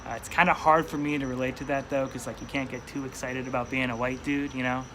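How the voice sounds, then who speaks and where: A man's voice: mid-range voice, little pitch movement, quick pace, noisy background. A young man from upstate New York with a mild New York accent, a stand-up comedian chatting in an interview, rambling and hesitant with lots of fillers. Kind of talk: casual interview chat.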